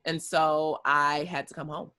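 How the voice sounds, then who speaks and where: A woman's voice: sits low, flat delivery, a little slow, pushed and bright, clean tone. An American woman in her thirties, a guest telling her story in casual conversation, with many fillers and easy laughs. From United States.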